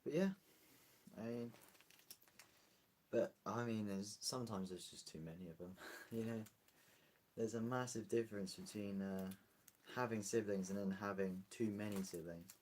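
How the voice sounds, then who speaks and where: A man's voice: low voice, a little hiss or hum, rough recording. A British man streaming live, chatting casually and rambling as he answers viewer comments. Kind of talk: casual livestream chat.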